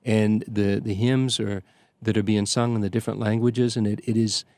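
An older priest reminisces in a rambling, unhurried way, stopping and circling back with plenty of ums and uhs. His voice sits low, and the sound is dry, with no room echo. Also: level tone, a little mellow. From Chicago area. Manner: rambling, halting, many restarts and fillers. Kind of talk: conversational storytelling.

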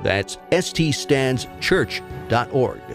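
Announcer reading a church ad over music. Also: male voice, medium pitch, animated, takes it slowly. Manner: smooth, promotional. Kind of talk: scripted church radio ad.

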